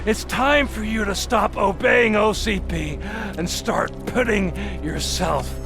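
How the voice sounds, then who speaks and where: A man's voice: sits high, slow pace, vocal fry, over music, full character voice. A male actor playing an arrogant, scheming, volatile villain in a video game cutscene, with a theatrical, taunting delivery. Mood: urgent, threatening. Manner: theatrical, taunting, swinging from smug to shouting.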